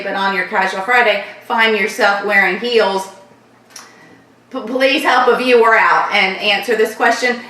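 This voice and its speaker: A woman from Florida with a light Southern accent, hosting a casual viewer Q&A vlog and rambling warmly through her answers. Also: on the high side, recorded from a distance. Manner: chatty, repeats thanks, loose rambling sentences. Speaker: channel host answering viewer questions. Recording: echoey room, voice a bit off-mic.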